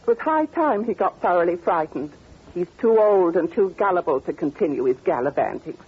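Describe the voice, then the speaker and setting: An actress playing a haughty, amused older British duchess with a grand, theatrical manner, in 1940s radio style. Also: female voice, on the low side, animated, normal speed, husky. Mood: cool, candid. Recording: tinny, narrow old radio recording.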